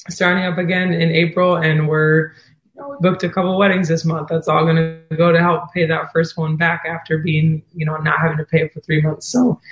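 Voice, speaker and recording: Woman, hoarse, earnest, heartfelt, echoey room. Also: fairly low pitch. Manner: heartfelt, faith-driven. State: losing her voice. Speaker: wedding venue co-owner, guest. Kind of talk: earnest interview talk.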